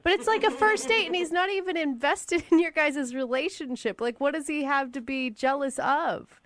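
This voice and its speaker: A woman's voice: sits high, moderate pace, fairly bright tone. A woman co-hosting a radio show with blunt, incredulous banter.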